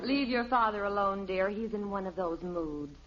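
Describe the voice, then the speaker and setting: An actress playing a patient, gently chiding middle-aged American wife and mother in a 1950s radio sitcom. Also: mid-range voice, normal speed, a crowd in the background, noisy background, natural voice. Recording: dull old radio recording.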